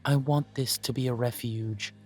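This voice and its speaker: A man voicing a courteous elven coffee shop owner who speaks in a polite, formal, gently self-deprecating way, with soft music playing behind him. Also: mid-range voice, fairly even intonation, moderate pace, lightly acted. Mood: gracious. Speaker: shop owner interviewing a job applicant. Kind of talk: scripted one-sided roleplay audio.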